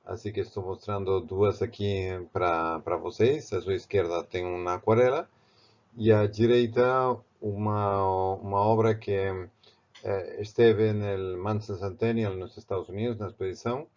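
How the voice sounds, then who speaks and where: Man, Spanish accent, low-pitched, halting art lesson with restarts, dull lo-fi sound. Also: steady tone, a little mellow. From Spain, Barcelona. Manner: halting, with frequent restarts and fillers. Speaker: art teacher. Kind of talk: step-by-step art tutorial.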